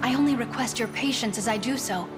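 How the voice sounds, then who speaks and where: An actress plays a proper young noblewoman from a fantasy game, high-voiced and deeply earnest. She speaks in formal, high-flown phrases, with music clearly audible underneath. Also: fast talker, natural voice. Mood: resolute, earnest. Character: earnest, proper young noblewoman, aspiring knight turned bandit. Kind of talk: scripted video game voice acting.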